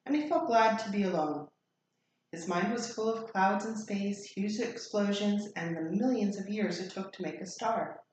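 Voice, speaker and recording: A woman reading a children's story aloud as a teacher would, in an expressive, deliberate storytelling style. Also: medium pitch. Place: echoey room. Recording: very echoey room, faint noise.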